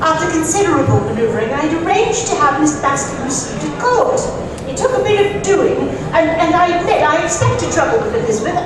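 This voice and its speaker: A woman's voice: high voice, lively intonation, a little fast, crowd noise. A woman playing a fussy, proper aristocratic lady chaperone in a live radio play performance. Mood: anxious. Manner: prim, proper, fretful. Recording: echoey hall from far off, loud audience.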